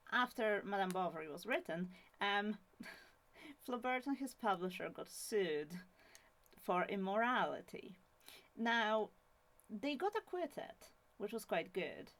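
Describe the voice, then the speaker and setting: A young woman from Poland with a Polish accent, talking casually about a classic novel on her own channel, with many fillers and restarts. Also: medium pitch. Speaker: channel host. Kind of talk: casual book talk to camera.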